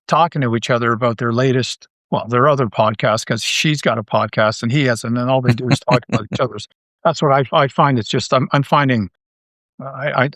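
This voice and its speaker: A man's voice: mid-range voice, a bit bright, dry recording. A man speaking casually as an interview guest, thinking aloud in a rambling way with false starts and repeated words. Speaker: interview guest, audio drama writer and creator.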